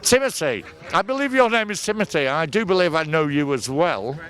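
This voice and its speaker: A man's voice: a little high, lively intonation, brisk, fairly bright tone. A man with a Northern English accent doing jokey, bantering street interviews as a host at an outdoor market. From northern England.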